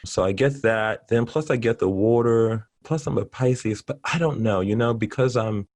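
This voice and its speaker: A middle-aged man chatting casually as an interview guest, talking in an animated, effusive and rambling way. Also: mid-range voice, moderate pace, no room sound, clean studio sound. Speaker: interview guest, artist and teacher.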